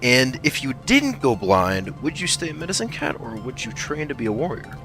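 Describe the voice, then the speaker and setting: A man voicing a playful tomcat warrior who reads out fan questions as announcer, teasing, goofy and deadpan by turns. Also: medium pitch, moderate pace. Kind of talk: improvised in-character fan Q&A. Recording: music behind the voice.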